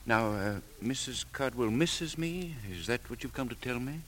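An actor playing a loyal, formal chauffeur, hesitant and properly deferential, in an old-time radio drama. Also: male voice, mid-range voice, lively intonation, moderate pace, a bit bright, natural voice. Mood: polite, guarded. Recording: vintage radio recording, faint noise.

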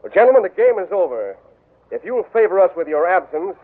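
An actor playing a smooth, scheming gambler villain with a suave manner, in a 1940s radio drama. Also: male voice, high voice, sing-song, fairly quick. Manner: suave, crafty. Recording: tinny old radio broadcast.

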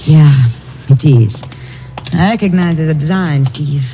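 A woman's voice: low voice, takes it slowly. A woman playing a determined, vengeful reporter in an old-time radio drama, speaking formally and with command. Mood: grim, resolute. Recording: muffled, noisy vintage radio recording.

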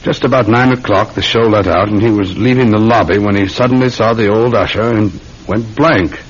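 Low-voiced weary police sergeant, old radio. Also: male voice, a little fast, breathy edge. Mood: grave, reporting. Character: weary, methodical London police sergeant, a patient uncle. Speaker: actor in a radio play. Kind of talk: classic radio drama acting. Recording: dull, rumbling, noisy old radio recording.

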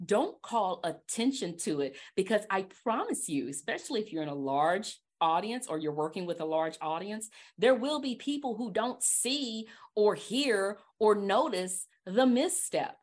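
A woman hosting a podcast, giving casual advice in an animated, emphatic way and repeating her key points. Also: mid-range voice, unhurried. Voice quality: trained projecting voice. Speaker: podcast host.

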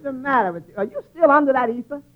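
A man's voice: extremely high pitch, animated, quick pace, a faint crowd. An actor playing a wry tavern waiter who punctures his boss with one-liners in classic radio comedy style. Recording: tinny vintage radio broadcast.

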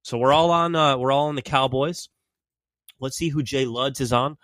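A man's voice: mid-range voice, normal speed, fairly bright tone, no room sound, clean studio sound. A man hosting a sports podcast while he has a cold, chatting casually and making game predictions as an enthusiastic fan.

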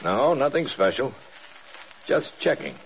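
An American man playing an authoritative, shrewd senior general in a mid-century radio drama, measured and emphatic. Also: medium pitch, big pitch swings. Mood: discreet, brisk. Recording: muffled vintage radio with faint noise.

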